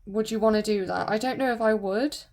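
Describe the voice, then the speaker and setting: Croaky-voiced woman chatting. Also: medium pitch, fairly even intonation, fairly quick, darkish tone. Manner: chatty, rambling, many fillers and restarts. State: croaky from a sore throat. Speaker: nail vlogger. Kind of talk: casual chat while doing nails.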